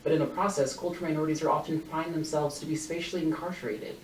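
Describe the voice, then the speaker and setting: A man's voice: on the high side, fairly even intonation, quick pace. A young adult man delivering a formal, argumentative competitive debate speech, reading prepared points and quoted evidence. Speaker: university debater. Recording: roomy, distant mic, faint noise.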